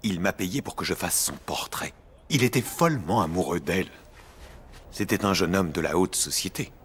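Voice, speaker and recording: Very deep-voiced, courteous cartoon painter. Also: male voice, animated, moderate pace, slight background noise. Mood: wistful. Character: courteous Montmartre painter, wistful about the past. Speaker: voice actor. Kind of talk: French animated series dialogue.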